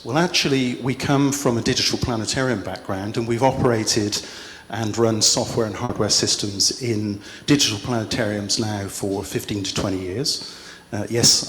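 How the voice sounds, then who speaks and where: A middle-aged man giving a conference talk in a relaxed, self-deprecating way, pausing on "uh". His voice rings through a large hall with long reverb and a faint hiss behind it. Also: lowish voice. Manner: self-deprecating, relaxed presenter with frequent "uh" fillers. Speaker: conference speaker. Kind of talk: conference presentation. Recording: echoey hall with faint noise.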